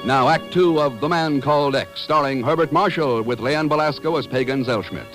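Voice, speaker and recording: A man's voice: on the high side, normal speed, loud music behind the voice. A man announcing in polished, emphatic 1940s radio style. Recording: old radio recording.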